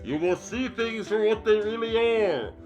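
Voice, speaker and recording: A man voicing a calm, solemn ghost guide who lectures sternly in a fan fiction reading. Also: high voice, a little slow, faint music behind, voice pushed up. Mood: solemn lecturing.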